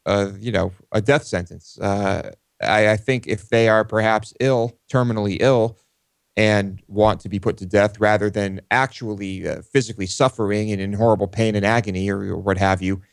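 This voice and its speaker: Low-voiced man, hesitant co-host. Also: fairly even intonation, moderate pace, gravelly, no room sound. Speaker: talk show co-host. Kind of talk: casual unscripted discussion.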